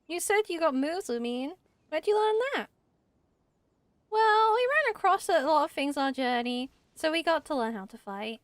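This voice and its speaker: High-pitched woman voicing game dialogue. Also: lively intonation, normal speed, dry recording. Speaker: amateur voice actor. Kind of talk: character dubbing of game dialogue.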